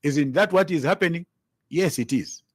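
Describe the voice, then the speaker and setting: A man's voice: a little high, normal speed, breathy edge, dry recording, studio quality. A man with a Zambian accent gives argumentative political commentary, emphatic, repeating phrases and posing rhetorical questions.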